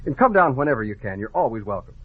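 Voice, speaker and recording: A man's voice: medium pitch, big pitch swings, fast talker, slightly rough, phone-quality sound. A man with an American accent, announcing on a 1950s children's radio show, urging young listeners in a cheery, direct way on an old broadcast recording.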